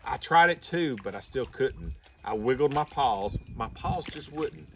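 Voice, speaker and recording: A man with a mid-pitched voice reads a rhyming children's story aloud. His intonation is lively and rises and falls often. The microphone sits a little way off. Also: a little slow, vocal fry. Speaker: storybook reader. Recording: slightly distant mic, faint background noise.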